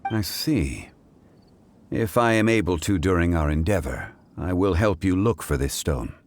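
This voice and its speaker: Deep, composed gentleman investigator. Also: expressive, a little hiss or hum. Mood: courteous. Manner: measured, formal, deliberate. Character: calm, erudite gentleman investigator, composed and courteous. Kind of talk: video game voice acting. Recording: faint music behind the voice.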